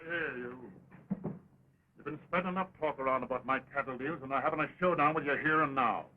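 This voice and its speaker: An actor playing a hostile, blustering American cowboy villain in western slang, in early talkie film style. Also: male voice, fairly high pitch, fairly quick, slight background noise, lightly acted. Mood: menacing. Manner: folksy cowboy slang, confrontational. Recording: tinny, band-limited 1920s film soundtrack.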